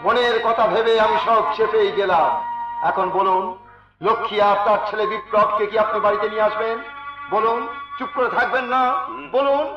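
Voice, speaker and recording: A man's voice: high voice, fairly even intonation, lightly acted. An actor playing a righteous brother defending his wronged sister, declaiming in Bengali folk theatre melodrama. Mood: stern, pressing. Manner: declamatory, drawn-out emphatic phrases. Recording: echoey, dull stage recording, loud music.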